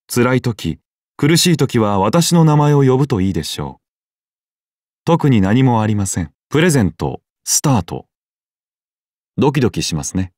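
Game sheep deity, male actor: solemn, polite deadpan with whimsical swings in intonation, dry close sound. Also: mid-range voice, no room sound, lightly acted. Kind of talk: scripted game character voice lines.

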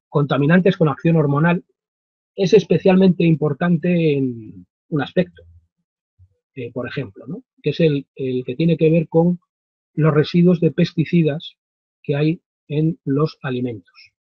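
A man, giving an explanatory webinar talk in a deliberate, emphatic way with frequent pauses. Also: on the high side, husky. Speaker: webinar presenter. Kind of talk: explanatory lecture, semi-improvised. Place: small wood-panelled home study, indoors. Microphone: computer webcam microphone at desk distance. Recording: dull, roomy webcam audio.

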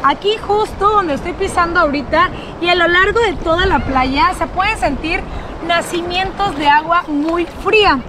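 A young woman vlogging on a sunny beach and in the shallow sea, talking excitedly to her viewers through an action camera held at arm's length. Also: very high voice, moderate pace, crowd noise, noisy background. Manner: enthusiastic, addresses viewers as family. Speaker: travel vlogger. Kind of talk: upbeat travel vlog to camera.

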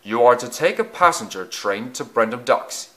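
A man's voice: medium pitch, expressive, a bit bright, close to an everyday voice. A man voicing a stern, authoritative male railway director in a storybook, speaking formally and firmly. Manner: formal, firm, measured orders.

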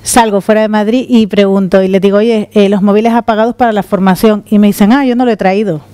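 A middle-aged woman from the Canary Islands giving a lively conference talk on stage with a handheld mic. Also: mid-range voice, quick pace, clean tone, no echo. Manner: lively, gesturing while walking on stage. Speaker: conference speaker. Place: conference room with audience. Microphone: handheld microphone.

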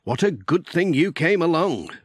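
A man's voice: fairly high pitch, very animated, normal speed, husky, no echo, studio quality, voice pushed up. Man voicing a cheerful, lifted village postman. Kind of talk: children's audiobook character voice.

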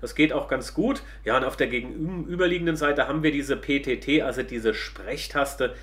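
A man's voice: mid-range voice, moderate pace, a bit bright. A middle-aged man, casually explaining a product to viewers as he reviews it. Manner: explanatory, addresses viewers directly.

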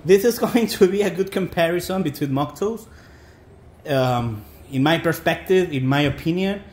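A man with a Mexican American accent giving a casual, halting, informal product review as he talks to viewers. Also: fairly high pitch. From South Texas. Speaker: boot reviewer.

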